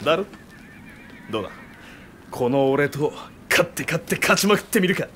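An actor playing a bold, ambitious young warlord in a samurai video game, cocky and commanding. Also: male voice, a little high, expressive, moderate pace, background noise, natural voice. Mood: confident. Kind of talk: dramatic game cutscene acting. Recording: dramatic music behind.

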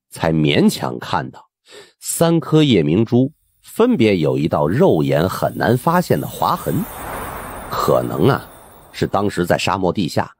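Male audiobook narrator, lowish pitch, extremely swooping intonation, husky, wry colloquial storytelling, faint music behind. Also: no echo. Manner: expressive, wry.

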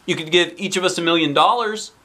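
A man's voice: high voice, quick pace, bright, forceful tone, pitched above the performer's own voice. A man voicing cheeky, eager young schoolboys from a children's storybook, blurting out their words. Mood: hopeful.

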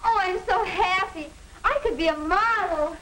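A young woman playing an excitable, affectionate, girlish teenage daughter in a 1950 educational film. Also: very high-pitched, unhurried. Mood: elated. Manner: gushing, girlish. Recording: noisy, crackly 1950 film soundtrack.

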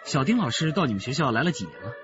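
An actor as a shrewd, lively male detective. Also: mid-range voice, very fast, muffled recording, close to an everyday voice. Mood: inquiring. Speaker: voice actor in radio drama. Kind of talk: radio drama dialogue. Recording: band-limited radio drama with music behind.

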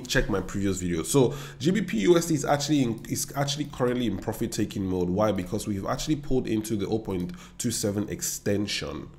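A man's voice: fairly low pitch, darkish tone. A young Nigerian man with a Nigerian accent talking viewers through forex charts in a confident, chatty way, recorded at his desk. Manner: confident, chatty, talks straight to viewers with asides. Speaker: forex trader and educator. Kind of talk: screen-share trading analysis. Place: indoor room.

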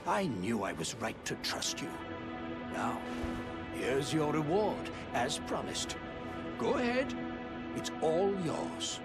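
An actor playing a pompous, self-important noble lord with a grand, theatrical manner, in a game cutscene. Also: male voice, fairly high pitch. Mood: pleased. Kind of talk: video game voice acting. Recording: game cutscene with loud music.

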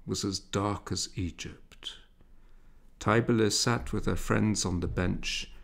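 A man reading a literary story aloud as a narrator, in a measured, expressive way with dramatic emphasis. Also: on the low side, gravelly. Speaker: audiobook narrator.

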